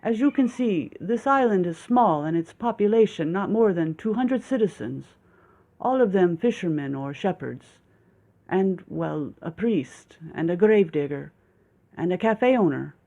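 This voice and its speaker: A man reading a story, voicing a shy foreign husband who apologizes for his halting English. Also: sits high, animated, vocal fry, dark tone, exaggerated voice. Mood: apologetic.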